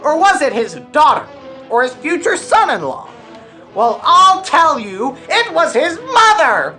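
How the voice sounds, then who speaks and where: A woman playing a blunt, wry detective in a scripted radio parody, with a deadpan, theatrical delivery. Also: high voice, measured pace, faint music behind, exaggerated voice. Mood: accusing, triumphant. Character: blunt, wry detective narrating a murder investigation.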